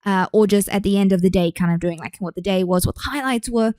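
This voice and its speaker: A woman's voice: medium pitch, quick pace, a little mellow, no room sound, studio quality. A young woman, chatting casually and rambling through her own podcast. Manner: chatty, rambling, with frequent restarts. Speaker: podcast host. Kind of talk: casual solo podcast chat.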